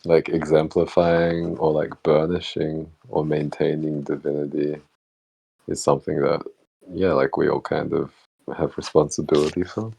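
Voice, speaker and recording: Male discussion participant, extremely low and creaky, casual, reflective, halting delivery. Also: level tone, dark tone, poor audio. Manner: halting, searching for words. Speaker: book club discussion participant.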